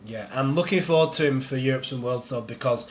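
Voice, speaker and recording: A man chatting casually as a podcast guest, a strongman coach with a head cold that makes him a little nasal, talking in a relaxed, rambling way. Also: medium pitch, fairly quick, a little hiss or hum. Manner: relaxed, rambling, laughs easily.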